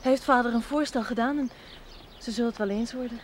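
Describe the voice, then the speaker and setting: Actress as a high-voiced, serious young village woman. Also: quick pace, a little hiss or hum, lightly acted. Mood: reserved, doubtful. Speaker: actress in a television drama series. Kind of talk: 1970s Dutch television drama acting. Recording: 1970s TV soundtrack, music underneath.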